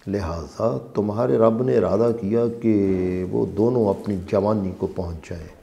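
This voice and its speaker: A man reading a religious translation aloud as scripted narration, in a measured, deliberate way with emphasis on phrases. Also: lowish voice, vocal fry, warm tone, muffled recording. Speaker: translator and reciter of a Quran translation.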